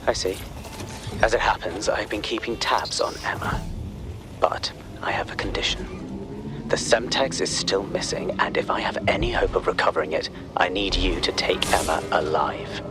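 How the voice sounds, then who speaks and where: Man as a very deep-voiced guarded agent. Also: fairly even intonation, moderate pace, music in the background, natural voice. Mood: businesslike. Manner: terse, guarded, authoritative. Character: guarded, stern male intelligence agent gone rogue. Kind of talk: video game acting.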